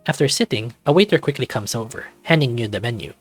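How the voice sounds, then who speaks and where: A man's voice: mid-range voice, lively intonation, fast, slightly rough, soft background music. A man narrating a scripted second-person story as a visual novel reader.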